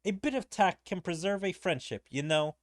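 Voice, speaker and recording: A man's voice: high voice, lively intonation, moderate pace, no room sound. A man voicing a prim young woman who scolds her older brother, reading game dialogue aloud in a stern tone. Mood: scolding.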